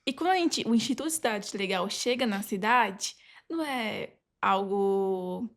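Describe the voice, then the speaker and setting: A Brazilian woman speaking as a podcast guest, casually recounting experiences in informal, halting phrases. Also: medium pitch, expressive, a bit bright. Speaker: podcast guest, legal advisor. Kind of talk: casual anecdotal conversation.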